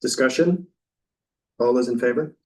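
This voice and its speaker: A man chairing a town board meeting, calling votes in a brisk, procedural way. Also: medium pitch. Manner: brisk procedural, routine phrasing. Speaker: first selectman chairing the meeting. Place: meeting room. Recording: voice from far off the mic.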